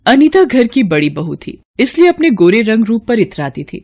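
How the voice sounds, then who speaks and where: A woman's voice: fairly high pitch, very animated, moderate pace, darkish tone. A woman reading scripted story narration for a family cartoon.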